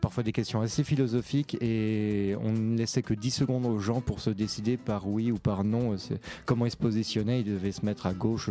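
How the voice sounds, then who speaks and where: Mellow, low-voiced young man explaining casually. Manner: informal, explanatory. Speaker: participant in a theatre occupation. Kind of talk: casual documentary testimony.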